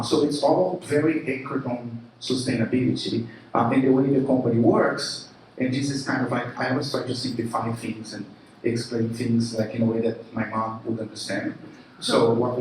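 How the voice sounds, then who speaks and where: A man's voice: mid-range voice, slight background noise. Brazilian-accented man in an echoey hall. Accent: Brazilian Portuguese. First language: Portuguese. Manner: casual, animated, lots of fillers and restarts. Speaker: conference speaker, marketing chief. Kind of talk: keynote presentation. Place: large room at a live event. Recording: echoey room from a distance.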